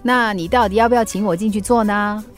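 A woman with a Singaporean accent acting in a radio drama as a feisty, teasing food delivery worker who is quick to retort. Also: mid-range voice, steady tone, lightly acted. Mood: teasing, indignant. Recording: music behind the voice.

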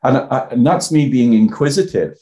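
An older Welsh man from the South Wales Valleys, talking as a guest in a reflective, halting way with many restarts. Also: mid-range voice, expressive, mellow. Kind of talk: reflective personal interview talk.

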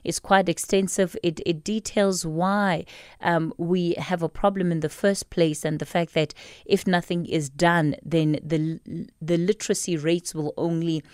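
Low-voiced South African woman hosting radio. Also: steady tone, a little slow, darkish tone, clear, resonant tone, no echo, clean studio sound. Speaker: radio talk show host. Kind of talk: live radio talk and interview.

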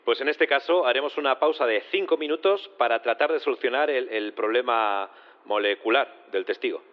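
A man's voice: medium pitch, fairly quick, close to an everyday voice. An actor playing a formal, somewhat flustered judge, hesitant as he presides over a courtroom. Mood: puzzled, formal. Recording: long hall reverb, slightly dull.

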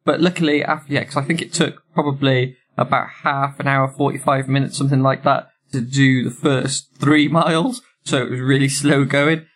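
A man's voice: medium pitch, steady tone, normal speed, hardly any pauses, fairly bright tone. Man, solo podcast host, slightly stuffy from a cold, loose rambling delivery with frequent fillers. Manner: rambling, self-correcting, many ums and uhs. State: sniffly with a cold.

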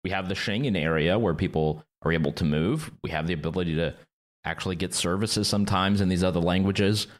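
A very deep-voiced man thinks aloud on a podcast as co-host. He wanders into asides and lets out light chuckles. His pace stays relaxed and conversational. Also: a little fast. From Quebec, Canada. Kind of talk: casual discussion.

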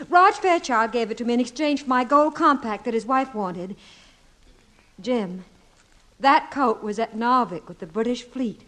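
A patient, affectionate wife in an old radio comedy. Her voice sits a little high and she speaks tenderly, with small hesitations, on a vintage 1950s recording. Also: measured pace, fairly bright tone. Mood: tender, hurt.

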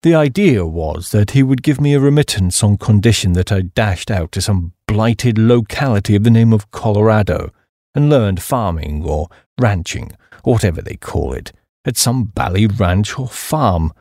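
A man voicing a hapless, dim young upper-class English gentleman who blusters and rambles in slang. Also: lowish voice, expressive, moderate pace, no echo, studio quality. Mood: gloomy, rambling.

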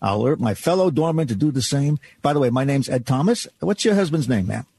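A man's voice: medium pitch, animated, fast, creaky, mellow, husky. An actor playing a friendly 1950s department store doorman in old-time radio drama style, folksy and reassuring.

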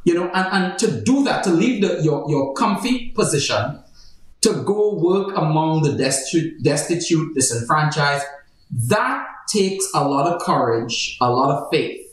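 A man's voice: on the high side, lively intonation, unhurried. A man, a pastor and host, explaining a Bible study theme in a conversational way with playful asides. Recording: very echoey room, far from the mic.

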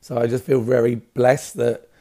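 A man with a slightly raspy voice, talking casually and keenly as an interview guest about his work. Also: medium pitch, flat delivery, normal speed, warm tone. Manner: enthusiastic. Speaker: hairdresser, salon owner, interview guest.

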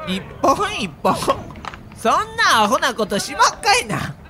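Older kansai baseball boss, wry, high bright voice, bit of noise behind. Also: male voice, wildly swooping pitch, measured pace, exaggerated voice. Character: older male baseball manager from Osaka, wry and easygoing. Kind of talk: acted audio documentary dialogue.

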